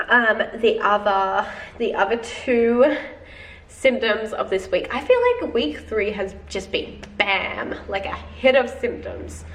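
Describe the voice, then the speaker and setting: A woman's voice: medium pitch, slow pace, fairly bright tone. Chatty young woman with a head cold. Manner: chatty, filler-heavy, keeps addressing viewers as guys. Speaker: vlogger. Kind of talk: casual video diary to viewers. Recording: roomy, slightly distant, faint noise.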